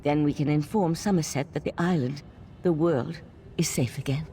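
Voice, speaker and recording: A woman performs an earnest elf woman from a fantasy game. Her voice sits fairly low, and she speaks with measured, steadfast feeling over faint music. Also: close to an everyday voice. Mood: grieving, resolute. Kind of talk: video game character dialogue.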